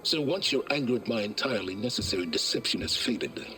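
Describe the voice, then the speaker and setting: An arrogant older man of learning in a video game, scheming and condescending. His lowish voice swings widely for pompous, theatrical emphasis. Music is clearly heard underneath. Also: expressive, fast, bright, forceful tone. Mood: smug. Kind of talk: video game cutscene acting.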